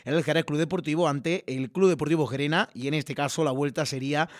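Male sports radio host, slightly higher voice, dry close mic, steady informative read. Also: a little fast, no room sound. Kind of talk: local sports radio presenting. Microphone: studio microphone.

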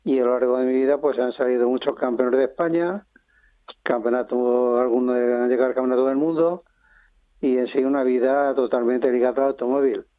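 An older man from Madrid, a businessman being interviewed on the radio, talking in a halting way with many pauses and restarts. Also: mid-range voice, steady tone, dry recording. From Spain (Madrid). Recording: dry, phone-line sound.

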